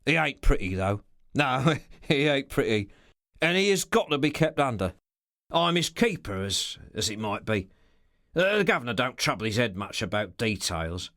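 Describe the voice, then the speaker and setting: A man voicing a sly, boastful male henchman who talks in rough, colloquial speech with feigned candour, for an audiobook. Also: medium pitch, sing-song, moderate pace, sharp tone, no room sound, clean studio sound.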